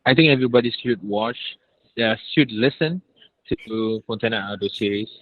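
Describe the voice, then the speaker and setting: A man, a director speaking casually and haltingly, often rephrasing. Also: lowish voice, narrow, tinny audio. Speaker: audio drama director. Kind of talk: casual discussion.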